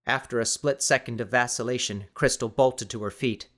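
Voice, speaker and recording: A male audiobook narrator reads dramatic fiction. His voice sits at a middle pitch, and his delivery is measured and descriptive. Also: fairly even intonation, a bit bright.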